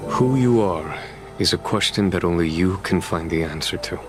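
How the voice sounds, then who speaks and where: A man playing a composed, gentle, wise general in a video game, speaking in a calm, measured, reflective way. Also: low-pitched, close to an everyday voice. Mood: reflective, consoling. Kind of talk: dramatic video game voice acting. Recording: background music over game dialogue.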